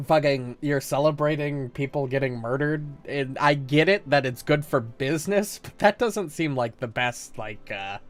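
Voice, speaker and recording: A man's voice: mid-range voice, normal speed, few pauses, faint music behind. A man with a slight cold, chatting casually and hesitantly as a streamer, with lots of "uh"s. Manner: rambling, hesitant, many "uh"s. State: slight cold, a little congested. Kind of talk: casual live-stream chat.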